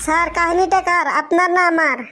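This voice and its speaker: A stubborn little cartoon schoolboy, polite yet cheeky. The voice is squeakily high and very flat, with earnest insistence and faint noise behind it. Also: female voice, brisk. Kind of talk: comedy cartoon dialogue.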